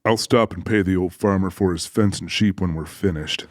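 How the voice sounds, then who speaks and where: Actor as dry country man, deep pitch, easygoing and wry, audiobook drama, slight background noise. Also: normal speed, close to an everyday voice. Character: Joe, dry, easygoing country man, anxious but decent.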